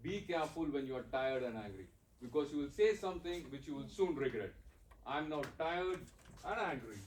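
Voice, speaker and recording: A man's voice: on the high side, lively intonation, normal speed, distant mic, close to an everyday voice. Man playing an exasperated young lawyer husband. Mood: lecturing. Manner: exasperated, lecturing. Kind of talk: stage play acting. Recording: echoey room, faint noise.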